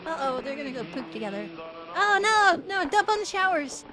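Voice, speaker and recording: A woman's voice: extremely high pitch, sing-song, brisk, poor audio. A woman hosting a livestream, giving casual comedic commentary in a mocking, joking way. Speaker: livestream host reacting to old films.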